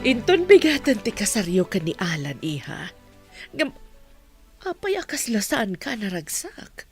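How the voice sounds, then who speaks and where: A woman's voice: mid-range voice, big pitch swings, measured pace, darkish tone, soft background music. A woman with a Filipino accent playing a concerned, firm mother of a bride-to-be in an audio drama.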